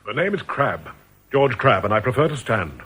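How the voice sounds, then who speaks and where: An actor in a radio drama playing a flustered, indignant shopper who blusters hesitantly and impatiently. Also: male voice, medium pitch, very animated, normal speed, a bit bright, slightly rough. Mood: defensive. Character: flustered, indignant male shopper under suspicion of fraud.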